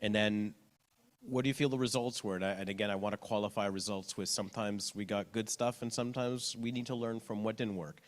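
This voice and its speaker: A man's voice: on the low side, fairly even intonation, a little fast. A man moderating a panel discussion, asking curious, searching questions.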